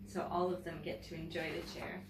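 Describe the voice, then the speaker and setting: A woman teacher reading a picture book aloud to children in an expressive storytelling manner, pausing to comment and ask questions. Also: on the low side. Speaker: teacher and story-time host. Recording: roomy, distant mic, faint background noise.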